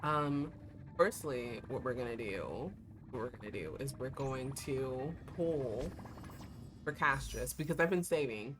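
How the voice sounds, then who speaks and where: A young woman streaming a game, her voice hoarse and giving out, chatting in a rambling way with lots of fillers. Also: a bass voice, lively intonation, a little slow. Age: young adult. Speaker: VTuber streamer. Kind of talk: casual livestream chat while playing a gacha game. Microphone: desk streaming mic. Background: game music.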